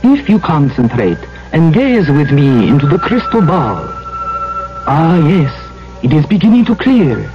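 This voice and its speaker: An actress playing a mysterious fortune teller in an old radio sketch, making portentous pronouncements. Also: female voice, deep voice, big pitch swings, unhurried, music in the background. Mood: mystical. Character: mysterious female fortune teller with crystal ball. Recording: dull old radio recording.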